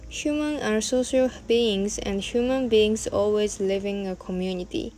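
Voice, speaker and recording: Young woman reading her talk slow and careful, warm soft tone. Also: mid-range voice. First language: Japanese. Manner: careful, measured reading with non-native phrasing. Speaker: student presenter. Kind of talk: reading a prepared presentation. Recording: faint music behind the voice. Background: faint background music.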